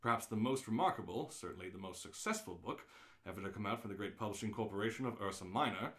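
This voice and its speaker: A man's voice: lowish voice, lively intonation, fast talker. A man hosting a casual, unrehearsed live show, talking and reading aloud in a rambling, jokey way. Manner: rambling, self-interrupting, jokey. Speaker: show host. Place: indoors in an office. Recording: roomy, echoey office.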